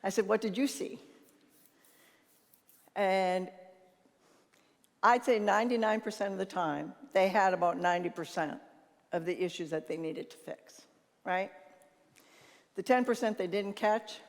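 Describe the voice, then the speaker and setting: Older female conference speaker, mid-pitched, naturally loud, informal anecdotal delivery, long hall reverb. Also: a little slow, pauses often. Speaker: conference speaker and leadership consultant. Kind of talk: informal conference talk with anecdotes, answering questions.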